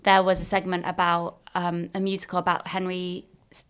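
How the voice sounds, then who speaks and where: A woman's voice: fairly low pitch, monotone, brisk, a bit bright, clear, resonant tone. A woman in her thirties, talking at home in casual chat, giggly and self-deprecating, often trailing off mid-thought. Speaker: podcast host. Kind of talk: casual chat between friends. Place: home, baby asleep nearby.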